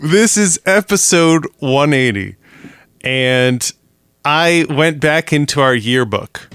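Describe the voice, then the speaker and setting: A man's voice: a little high, expressive, slow pace, a bit bright, clear, resonant tone, dry recording, studio quality. A man co-hosting a casual podcast, meandering through his points with lots of restarts and laughs. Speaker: podcast co-host. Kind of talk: casual chat.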